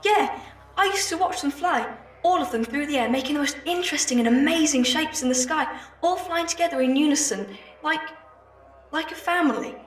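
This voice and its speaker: A woman playing a plucky, sharp-witted young street girl in an audio drama, cheeky, keen and colloquial. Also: high voice, brisk, distant mic, natural voice. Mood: eager, explaining. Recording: echoey room, off mic, faint noise.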